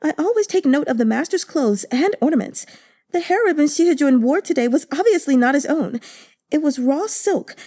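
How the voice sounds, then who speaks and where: Indignant young maidservant read by a woman: high, fast, stammering, soft mellow tone. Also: no echo, clean studio sound. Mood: gossipy. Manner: rapid, stammering outbursts. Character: indignant young maidservant, gossipy and fiercely loyal.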